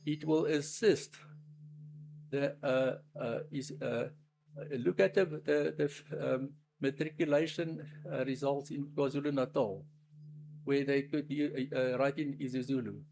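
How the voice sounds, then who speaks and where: A man whose first language is Afrikaans, speaking with a South African accent, gives a formal submission as an attorney at a public hearing. He talks haltingly, often restarting and searching for the next word. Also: medium pitch, creaky, rough recording.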